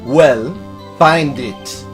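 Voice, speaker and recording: A man voicing an arrogant older prosecutor in a video game, with pompous, menacing, drawn-out speech. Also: medium pitch, over music, voice pushed down. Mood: disdainful, menacing. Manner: slow, drawn-out, pompous delivery.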